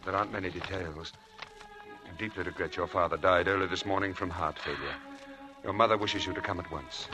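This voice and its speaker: An actor playing a charming, evasive young husband with smooth, persuasive patter, in 1940s radio drama style. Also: male voice, deep voice, fairly quick, over music, natural voice. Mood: subdued, sorry. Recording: noisy old 1940s radio broadcast.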